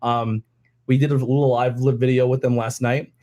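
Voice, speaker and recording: A hoarse young man hosting a casual podcast from a home kitchen, rambling with lots of fillers. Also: medium pitch, flat delivery, normal speed, dark tone. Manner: rambling, loose, many fillers. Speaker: podcast host. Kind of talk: casual chat. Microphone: headset and desk mic.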